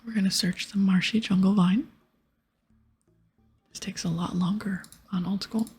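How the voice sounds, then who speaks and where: A woman speaking in a soft, breathy whisper close to the mic, giving gentle, casual ASMR gameplay commentary. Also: mid-range voice, steady tone, moderate pace, soft background music. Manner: gentle, hushed, casual rambling. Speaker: ASMR gaming video creator. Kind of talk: ASMR whispered gameplay commentary with quest dialogue read aloud.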